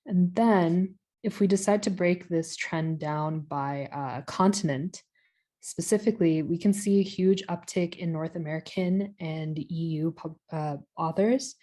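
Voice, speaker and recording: A young woman presents her project findings over a video call. Her voice sits a little low and sounds mellow and warm. She pauses, says "um" often and corrects herself. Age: young adult. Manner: hesitant, with fillers and self-corrections. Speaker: student presenter. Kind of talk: online capstone presentation.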